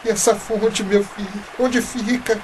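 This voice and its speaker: Elderly Brazilian man, high voice, groaning, complaining, radio play, noisy ambience. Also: flat delivery, unhurried. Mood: weary, complaining. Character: man turned eighty, aching, groaning, complaining old fellow. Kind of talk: radio drama acting.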